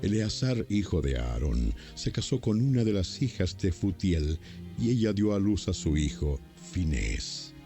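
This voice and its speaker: A man gives a solemn scripted Bible narration. His voice is low and gravelly with a dark, mellow tone, and he reads deliberately over faint music. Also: sing-song.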